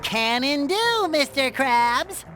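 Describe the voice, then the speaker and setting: A man voicing an eager, naive boyish sea sponge in a cartoon game, squeaky, nasal and perky. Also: sing-song, slow pace, pushed and bright, soft background music, heavily acted. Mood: playful. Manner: perky, earnest, over-eager. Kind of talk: cartoon game character acting.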